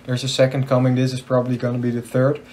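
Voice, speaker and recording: A man's voice: medium pitch, completely flat delivery, fast talker, darkish tone. An eighteen-year-old man, a native Dutch speaker with a Dutch accent, chatting casually and a bit awkwardly in hesitant English. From Netherlands. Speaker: host of a gaming channel. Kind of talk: casual chat over gameplay.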